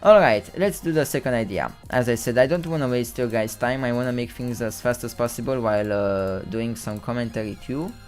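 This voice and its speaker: A man's voice: mid-range voice, fairly quick, few pauses, a little mellow, faint music behind. A young man from Romania with a Romanian accent, whose first language is Romanian, hosting a casual live stream with rambling, self-correcting commentary and some stumbles over words.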